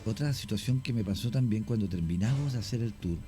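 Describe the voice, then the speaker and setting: Halting man telling a story. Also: lowish voice, warm tone, soft background music. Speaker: guest, paranormal investigator. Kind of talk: radio talk show storytelling.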